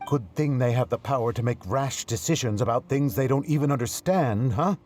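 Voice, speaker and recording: An actor playing a loyal, blunt young troupe member who talks in an emphatic, sarcastic way. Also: male voice, medium pitch, fairly quick, a little mellow, natural voice. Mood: indignant. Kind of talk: video game voice acting.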